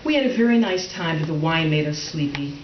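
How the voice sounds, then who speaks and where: A woman playing a prim, quietly resentful middle-aged wife in a stage comedy reading, hesitant and mildly sarcastic. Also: low voice, expressive, fairly quick. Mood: mild, defensive. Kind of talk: readers theater stage comedy. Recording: roomy, distant, dull, faintly noisy stage recording.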